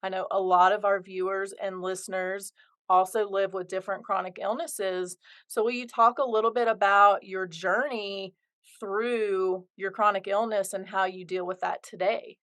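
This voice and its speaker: Woman podcast host, medium pitch, eager and upbeat. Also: little pitch movement, normal speed, a bit bright. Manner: upbeat and enthusiastic. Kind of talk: podcast interview hosting.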